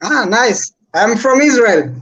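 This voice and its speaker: A man's voice: very high-pitched, lively intonation, takes it slowly, fairly bright tone, husky. A man with an East African accent chatting casually as a guest on a live stream, over a dull online call. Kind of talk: casual chat.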